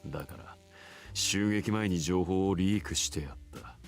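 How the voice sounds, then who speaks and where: A man's voice: low-pitched, fairly quick, gravelly, faint music behind. An actor playing a jaded, cocky gangster man in a visual novel, blunt and offhand, coolly recounting his schemes.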